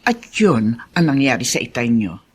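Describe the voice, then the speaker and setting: A woman's voice: a bass voice, big pitch swings, normal speed, breathy edge, heavily acted. A woman playing an elderly, earnest, gossipy family friend in a radio drama, speaking frankly. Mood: earnest, confiding. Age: older.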